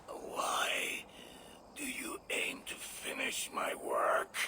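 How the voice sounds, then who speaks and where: An actor playing a cruel, fanatical villain in a video game, with a theatrical, taunting delivery. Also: male voice, extremely slow, whispered. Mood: defiant, strained. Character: menacing fanatical villain, cruel devoted zealot.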